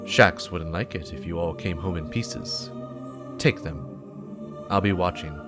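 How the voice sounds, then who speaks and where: Man acting a menacing male gunslinger, slow delivery, threatening, dramatic sci-fi story, music behind. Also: low-pitched. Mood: quiet menace. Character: menacing, unhurried male gunslinger giving a warning.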